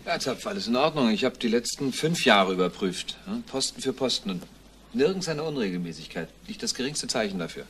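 A man's voice: mid-range voice, animated, brisk, fairly bright tone, a little hiss or hum, close to an everyday voice. A man playing an earnest, thorough police investigator in a German crime radio play, explaining things matter-of-factly. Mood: reporting.

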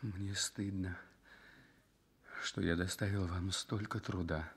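An actor playing a weak, shy hospital patient in a Soviet radio drama, hushed, breathy and apologetic. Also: male voice, sits low, steady tone, slow pace, full character voice. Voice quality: husky, breathy.

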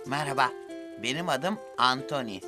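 A man's voice: mid-range voice, normal speed, close to an everyday voice. A man voicing an easygoing, gluttonous male panda in a preschool cartoon, munching and humming between phrases. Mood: friendly. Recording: music behind the voice.

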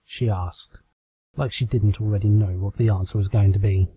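A man's voice: lowish voice, fairly even intonation, normal speed, dark tone, slightly rough, no echo. A British man reading a story aloud as a scripted amateur narration. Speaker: amateur fan fiction reader.

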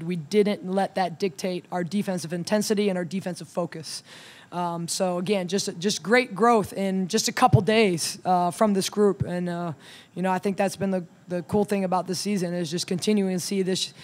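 A woman in her thirties, a head coach, talking through a game at a postgame press conference in a measured way, with many ums and restarts, into a table mic. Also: on the low side, steady tone, a little mellow. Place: press room in front of a logo backdrop. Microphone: table mic on a stand.

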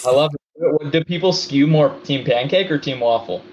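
A man's voice: on the high side, moderate pace, darkish tone, slight background noise. A man from Hawaii chatting casually as a podcast guest, upbeat and agreeable, over muffled remote-call audio. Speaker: podcast guest, app company CEO. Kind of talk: casual interview chat.

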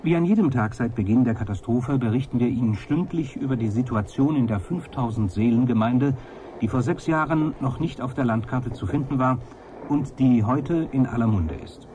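An actor in a radio play playing a formal, diplomatic news presenter with a measured, placating manner. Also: male voice, medium pitch, warm tone, natural voice. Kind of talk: acted radio news broadcast. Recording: 1980s radio drama, faint noise.

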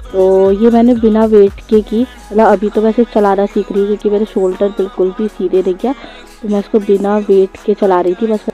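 A woman's voice: mid-range voice, warm tone. A woman, giving a casual, chatty voice-over for a daily vlog. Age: 20s. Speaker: vlogger. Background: faint music.

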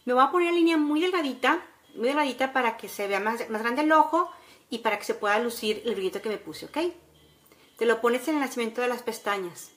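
A woman's voice: fairly high pitch, a little fast, fairly bright tone. A woman in her forties with a Mexican accent, chattily talking viewers through a casual makeup tutorial at home. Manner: instructional, chatty, with frequent checking tags. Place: room at home by a window, indoors.

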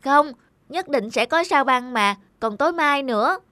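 A woman's voice: high-pitched, lively intonation, a little slow, sharp tone, heavily acted. A woman voicing a devoted, protective young big brother in a children's cartoon, with an earnest, reassuring manner. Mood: determined, caring.

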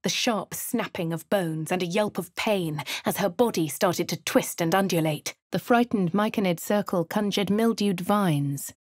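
A posh English game heroine: low, crisp, dryly sardonic, close and dry studio sound. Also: female voice, unhurried, few pauses, no echo. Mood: wry. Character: posh young female adventurer, dry and wry. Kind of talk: video game character voice lines. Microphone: close studio mic.

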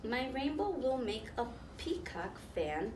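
A woman in her thirties reading a picture book aloud to young children, pausing to explain and ask them questions. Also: a little high, sing-song. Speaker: volunteer children's story reader. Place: small classroom, indoors, seated on floor. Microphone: camera a few metres away. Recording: roomy, distant mic, noticeable background noise.

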